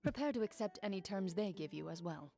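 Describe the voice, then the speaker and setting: A woman playing a composed, honourable hunter and duelist in a video game, speaking in a measured, formal way. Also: medium pitch, animated, vocal fry, mellow. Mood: magnanimous.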